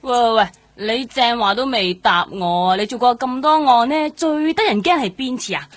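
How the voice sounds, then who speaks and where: A woman with a Hong Kong Cantonese accent playing a nosy, excitable, cheeky dinner companion in a radio drama, pushy and playful. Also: on the high side, normal speed, no echo, lightly acted. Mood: excited, curious. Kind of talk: lively radio drama acting.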